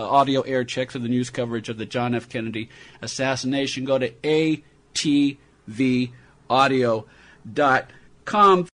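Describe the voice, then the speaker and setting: An American man hosting a radio program, introducing and interviewing in a prepared style. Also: a little high. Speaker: radio show host.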